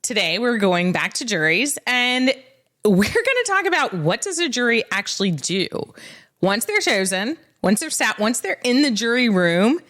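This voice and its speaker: A woman's voice: on the high side, sing-song, moderate pace, a bit bright. Woman co-hosting a casual legal podcast. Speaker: legal expert podcast co-host. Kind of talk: casual explanatory podcast chat.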